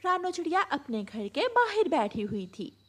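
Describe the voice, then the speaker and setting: A woman's voice: very high voice, very animated, normal speed, fairly bright tone. A woman narrating a scripted cartoon story as a storyteller.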